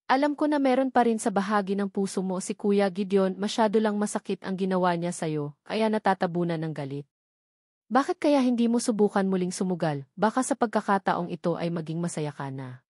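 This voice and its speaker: A woman's voice: medium pitch, animated, a little fast, darkish tone, dry recording, studio quality, lightly acted. A woman narrator voicing a caring young woman who coaxes and worries over her best friend in an audiobook. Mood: concerned, coaxing.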